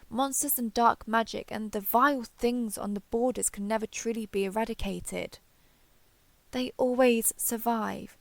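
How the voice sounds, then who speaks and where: A woman playing an ancient, proud female dragon in human form in an audio roleplay, speaking in formal, measured, archaic phrasing. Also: on the high side, creaky, no echo. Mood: grave, imperious. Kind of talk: scripted audio roleplay acting.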